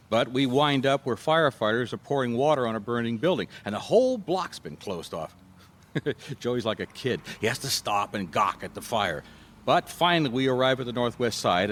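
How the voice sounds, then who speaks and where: A man's voice: mid-range voice, a bit bright, close to an everyday voice. An actor playing an easygoing American private eye, telling his story in a casual, wry radio drama style. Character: easygoing male private eye recounting an old case. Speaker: lead actor and narrator. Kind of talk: conversational radio drama narration.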